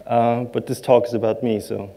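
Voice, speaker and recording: A man in his thirties whose first language is Serbo-Croatian, giving a conference talk from a large stage in a halting way, full of ums and self-deprecating asides. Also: mid-range voice, little pitch movement, gravelly, dark tone, slight background noise. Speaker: conference speaker.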